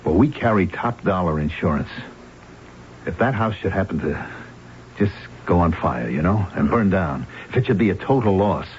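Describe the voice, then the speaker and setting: Radio drama male lead played by a man: cornered debtor, lowish voice with wide swings, pleading and hesitant. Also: lightly acted. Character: desperate, weak-willed gambling husband in deep debt. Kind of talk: 1970s radio drama acting. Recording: dull, noisy old radio recording.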